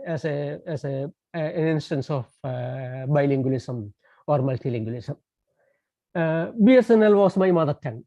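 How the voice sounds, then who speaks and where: A man's voice: on the high side, mellow, dry recording. A man whose first language is Tamil gives a lecture over a phone call, stopping often on fillers and false starts. The sound is muffled, with a narrow, lo-fi tone. The room around him sounds close and dry. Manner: hesitant, many fillers and restarts. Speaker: guest lecturer. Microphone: phone on a landline call.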